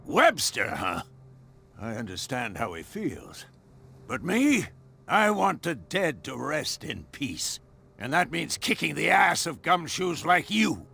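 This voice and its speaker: An actor playing a surly, hostile caretaker in a video game, with a gruff, threatening manner and raspy voice. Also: male voice, on the high side, very animated, takes it slowly, pushed and bright, exaggerated voice. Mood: menacing. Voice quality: gravelly, raspy. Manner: gruff, threatening, old-fashioned tough slang. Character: surly, hostile male caretaker guarding a burnt mansion. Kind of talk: video game cutscene acting.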